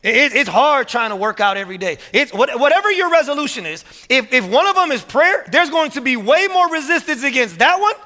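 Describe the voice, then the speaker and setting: A man preaching a sermon as pastor to his church, energetic and emphatic, often repeating words as he builds to strong points. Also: very high voice, fairly quick, hardly any pauses. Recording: long hall reverb.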